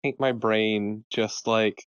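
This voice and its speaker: A man's voice: lowish voice, steady tone, slow pace, clean tone, no echo, lo-fi sound. A man chatting casually as co-commentator on a video game playthrough. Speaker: let's play co-commentator. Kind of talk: casual chat.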